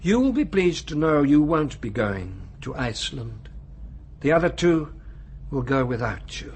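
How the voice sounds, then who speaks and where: An actor playing an urbane, cynical man of high authority in a radio drama, lecturing in a measured, condescending way. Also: very animated, slight background noise. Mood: quietly menacing. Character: male world ruler, urbane, coolly cynical, menacing authority.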